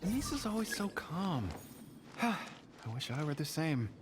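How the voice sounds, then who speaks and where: Wistful townsman, mid-pitched with lively swings and sighing delivery, video game, noisy ambience. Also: unhurried. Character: wistful townsperson envying a calm acquaintance. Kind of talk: video game character acting.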